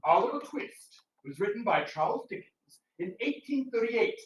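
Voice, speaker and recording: A man's voice: sits high, big pitch swings, normal speed, muffled recording. Male actor-presenter, dramatic delivery, scripted talk, echoey room, faint noise. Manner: dramatic, declamatory. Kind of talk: theatrical scripted lecture. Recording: roomy, distant mic, faint noise.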